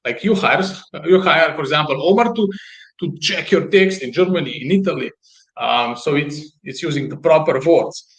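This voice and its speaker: High-pitched man with a Slovenian accent. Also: animated. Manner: halting, repeats words for emphasis. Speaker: guest, business founder. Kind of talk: explanatory business talk.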